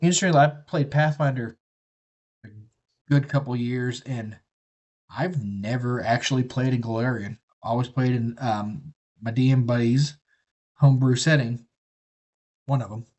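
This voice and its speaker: A man's voice: medium pitch, moderate pace, pauses often, a little mellow, dull audio. Folksy, swearing man. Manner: rambling, profane, folksy. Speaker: live streamer. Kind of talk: casual rambling chat while building a kit.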